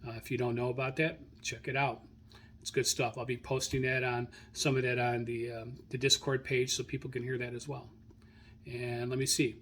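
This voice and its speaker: A man hosting a radio hobby show, informally reading through his logs in a halting, self-correcting way as he searches his notes. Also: medium pitch, flat delivery, fairly bright tone, slight background noise. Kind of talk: informal spoken log rundown, unscripted.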